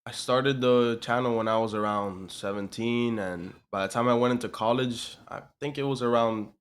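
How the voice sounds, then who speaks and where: A man's voice: mid-range voice, moderate pace, clean tone. A man in his early twenties from Miami, giving casual answers as an interview guest. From Miami, USA. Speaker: interview guest, online business owner.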